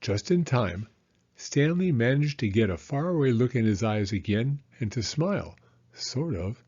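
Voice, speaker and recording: A man reading a children's story aloud in a deliberate, expressive storytelling style. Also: mid-range voice, breathy edge, highs cut off. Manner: deliberate, acts out character voices. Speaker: children's audiobook reader.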